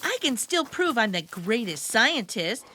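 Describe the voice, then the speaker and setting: A woman acts out a determined little girl scientist from a picture book, easily exasperated and emphatic. The voice is high and bright, with big swings in pitch. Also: sing-song, unhurried, a little hiss or hum, heavily acted. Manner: emphatic, exclamatory.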